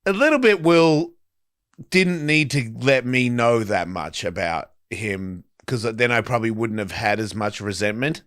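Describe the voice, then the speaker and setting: A man's voice: mid-range voice, a little slow, fairly bright tone, dry recording, clean studio sound. A man hosting a talk show, chatting candidly in a blunt, profane way and acting out the exchanges he recalls. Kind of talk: candid casual chat, anecdotes.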